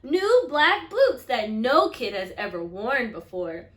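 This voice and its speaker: A woman voicing an earnest, wishful young schoolboy from a storybook, with expressive delivery. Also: fairly high pitch, slow pace, echoey room, full character voice. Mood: excited.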